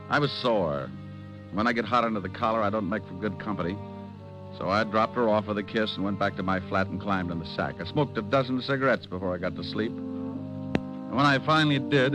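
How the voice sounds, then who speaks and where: An actor playing a cocky, sardonic American private detective in an old-time radio drama, wisecracking and hot-tempered. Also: male voice, lowish voice, natural voice. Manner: sardonic, flippant.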